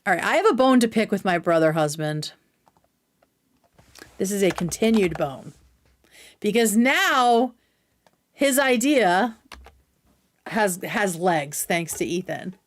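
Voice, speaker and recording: A woman streamer talks casually at a middle pitch, giving opinionated commentary. She is blunt and swears freely, in the manner of an unscripted livestream. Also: animated, slow pace, pauses often. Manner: blunt, profane. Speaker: streamer, host.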